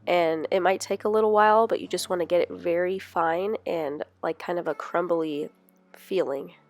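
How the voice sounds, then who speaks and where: A woman who is sick and sounds a little nasal, narrating a cooking tutorial step by step in a relaxed, instructional way. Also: fairly low pitch, normal speed. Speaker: cooking vlogger.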